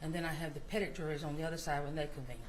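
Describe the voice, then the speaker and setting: A woman's voice: low-pitched, fairly even intonation, very fast, a little hiss or hum, rough recording. A woman testifying as a court clerk, answering politely and matter-of-factly. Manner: polite, answers "yes, sir", matter-of-fact. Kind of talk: witness testimony. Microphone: courtroom microphone.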